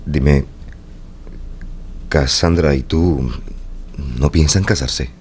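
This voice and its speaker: An actor playing a solicitous, grave brother in a Spanish-language radio drama, courteous and deliberate. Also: male voice, full character voice. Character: solicitous, grave brother hosting his sister. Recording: faint background noise, low rumble.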